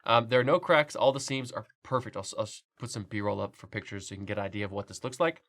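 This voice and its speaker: A man's voice: on the low side, fast, fairly bright tone. Congested, chatty man with a cold. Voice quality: nasal. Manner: chatty, loose, with frequent fillers and restarts. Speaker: 3D printing channel host. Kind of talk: casual product review.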